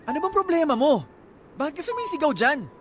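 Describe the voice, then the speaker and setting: A man's voice: very high-pitched, sing-song, fairly quick, very noisy. A man playing a young student boyfriend, sweet-talking and pushy, in a Tagalog radio drama. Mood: pushy, annoyed. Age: young adult.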